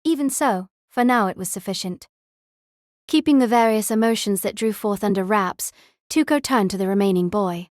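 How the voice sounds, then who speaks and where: A woman reading a novel as audiobook narrator, in a measured, literary storytelling manner. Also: medium pitch, no echo, clean studio sound.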